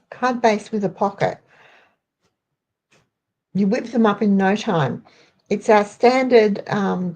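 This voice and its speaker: A croaky-voiced woman chats her way through a crafting tutorial, greeting viewers as she goes. Her voice is gravelly and mellow, and she speaks at an unhurried pace. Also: mid-range voice, lo-fi sound. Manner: chatty, rambling, greets viewers by name. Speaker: crafting host. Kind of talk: casual live crafting tutorial.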